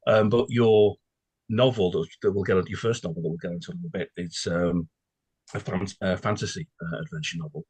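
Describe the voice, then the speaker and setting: A man hosting a casual interview, asking long, halting questions with asides along the way. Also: low voice, steady tone, darkish tone, slightly rough, no room sound, rough recording. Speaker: podcast host, interviewer.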